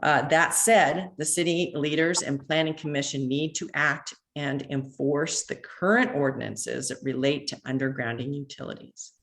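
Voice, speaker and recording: A woman, president of a neighborhood association, reading an earnest public comment over a phone line, measured with a few halting restarts. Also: sits low, steady tone. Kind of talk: prepared public comment read aloud. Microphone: phone call into online meeting.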